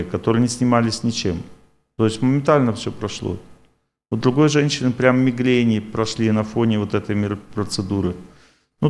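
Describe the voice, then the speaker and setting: A man of middle pitch gives a lecture and fields questions from the audience. He rambles and circles back over the same points, but he never sounds unsure of himself. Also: darkish tone. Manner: rambling, repetitive, self-assured.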